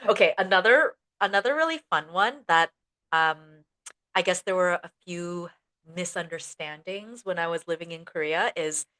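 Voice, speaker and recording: A young woman chats as co-host of a teaching podcast, her voice sitting a little low. She tells stories with energy and slips into quick acted-out lines of dialogue. The tone stays relaxed and conversational. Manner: animated storytelling, acts out quoted dialogue. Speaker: podcast co-host, ESL educator. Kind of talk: casual chat.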